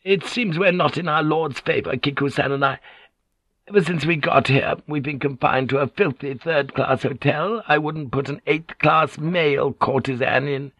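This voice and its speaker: A man's voice: a little high, normal speed, fairly bright tone, dry recording, pitched above the performer's own voice. A man voicing a sly, aggrieved woman in an audiobook, falsely courteous, plaintive and insinuating. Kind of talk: audiobook character dialogue.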